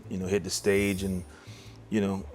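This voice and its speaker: A man's voice: low-pitched, monotone, moderate pace, a little mellow. A middle-aged man, a songwriter talking casually in an interview with many fillers and restarts. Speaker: songwriter guest.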